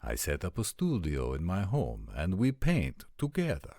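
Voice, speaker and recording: A courteous vampire prince played by a man in an audio drama. His voice is low and his speech formal and guarded. The sound is dry and intimate. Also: huge pitch swings, a little mellow, dry recording, heavily acted. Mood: courteous, earnest. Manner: formal, polite, measured. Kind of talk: roleplay audio drama acting.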